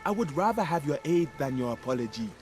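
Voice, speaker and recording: Grim city guard, animated delivery. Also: male voice, fairly high pitch, fast talker. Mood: grave, urgent. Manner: dramatic, brooding, earnest. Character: grim, embittered male city guard, loyal to townsfolk. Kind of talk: fantasy video game voice acting. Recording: game music behind.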